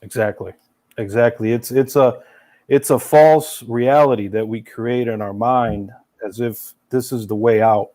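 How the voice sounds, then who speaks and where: Guest, young adult man, no accent, earnest, slow and halting with uhs. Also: mid-range voice, fairly even intonation, mellow. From Serbia, raised in USA. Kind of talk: casual interview chat.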